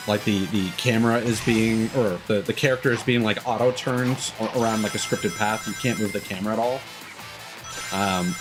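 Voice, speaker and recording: A man doing casual, rambling and opinionated gameplay commentary as a live streamer. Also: lowish voice. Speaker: video game live streamer. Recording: loud music behind the voice.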